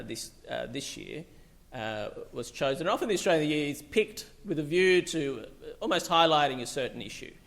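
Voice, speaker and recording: Gravelly man speaking loosely. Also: a little high, fairly bright tone. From Bangladesh. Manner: loose, conversational, many fillers and restarts. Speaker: psychiatrist giving a talk. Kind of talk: public talk.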